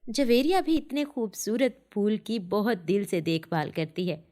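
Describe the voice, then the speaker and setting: A female storyteller reads a scripted children's tale. Her voice sits at a medium pitch, in the narrating style of a story for young listeners. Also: animated, a little slow, dark tone.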